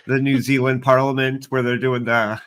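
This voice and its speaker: A man chatting casually on a livestream panel, explaining things in a halting, roundabout way. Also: mid-range voice, little pitch movement, a bit bright, clear, resonant tone. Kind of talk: casual chat.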